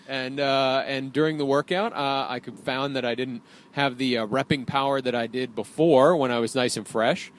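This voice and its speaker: A man's voice: mid-range voice, steady tone, normal speed. A man co-hosting a podcast from a car, talking in a rambling way with many fillers and getting heated when riled. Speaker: podcast co-host, wrestling trainer. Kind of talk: casual banter while driving. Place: inside a car.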